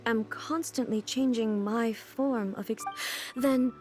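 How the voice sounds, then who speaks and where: A solemn, ageless female ruler from a video game, speaks in a fairly high voice with formal, deliberate phrasing. She sounds dignified yet earnestly puzzled. Music plays clearly behind her. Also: steady tone, a little mellow. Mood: wondering, pensive. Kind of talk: video game character dialogue.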